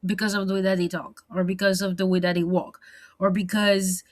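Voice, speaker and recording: A young woman with a French accent talks straight to the camera in a long personal monologue. Her pitch sits in the middle range for a woman. She rambles, repeats words and leans on fillers, now and then slipping into French. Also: little pitch movement, a little fast. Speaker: vlogger.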